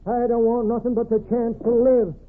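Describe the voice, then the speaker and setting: An actor playing a stubborn, folksy old wagon boss with an American Old West drawl in a 1940s radio western. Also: male voice, very high voice, level tone, normal speed. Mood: folksy, excited. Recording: scratchy, narrow-band 1940s radio recording.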